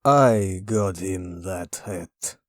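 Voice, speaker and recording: A man voicing a calm, hulking alien crewman in a sci-fi comic dub, measured and dry. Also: sits low. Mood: thoughtful. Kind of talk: comic dub character acting.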